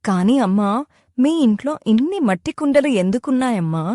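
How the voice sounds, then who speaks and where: A woman voicing a kind, soft-hearted young village woman in a cartoon story, polite and soothing. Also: a little high, animated, a little fast, no echo, clean studio sound, natural voice. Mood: curious. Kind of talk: cartoon moral story dialogue.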